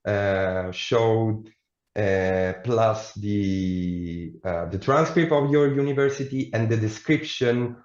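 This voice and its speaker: A man's voice: on the low side, takes it slowly, a little mellow. A man presenting admissions details in an online session, with frequent hesitations. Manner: hesitant, many fillers. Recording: echoey room, dull lo-fi sound.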